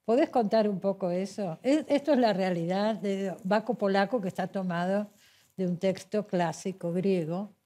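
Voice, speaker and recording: Argentine woman interviewer with mid-pitched voice. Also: moderate pace, mellow. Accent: Buenos Aires Argentine. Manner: prompting and echoing the guest's words. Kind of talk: conversational interview.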